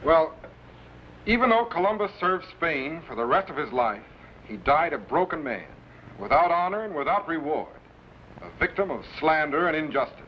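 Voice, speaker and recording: A man acting as a commanding, earnest time-travel captain, fairly high-voiced and animated, with theatrical 1950s serial delivery. The old recording sounds muffled. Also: normal speed, a little hiss or hum, lightly acted. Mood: earnest, reflective. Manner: crisp, declarative, theatrical. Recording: muffled vintage show soundtrack.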